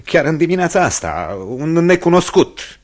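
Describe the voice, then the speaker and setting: An actor playing an earnest, halting man in a radio drama, speaking with emphasis. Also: a little high, sing-song. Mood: shaken. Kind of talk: Romanian radio theatre acting.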